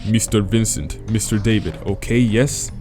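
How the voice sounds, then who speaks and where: A man's voice: on the low side, moderate pace, over music, natural voice. Man voicing an eager, simple-spoken monster helper. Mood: eager, polite. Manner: broken, deferential phrasing.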